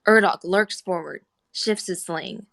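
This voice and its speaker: A woman's voice: lowish voice, unhurried, fairly bright tone. A woman reading scripted screenplay action lines as the narrator of a table read.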